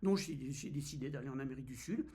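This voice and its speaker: A man's voice: mid-range voice, fast talker, mellow, husky. A man in his fifties from France, vlogging in a casual, hesitant way with many fillers and restarts. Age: 50s. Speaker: travel vlogger. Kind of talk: casual first-person vlog.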